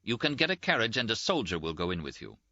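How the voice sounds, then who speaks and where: A man's voice: fairly low pitch, sing-song, quick pace, pushed and bright, no room sound, pitched above the performer's own voice, full character voice. A man voicing a haughty, formal army lieutenant in clipped, officious audiobook dialogue, on a slightly dull, dry recording. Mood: stern, officious.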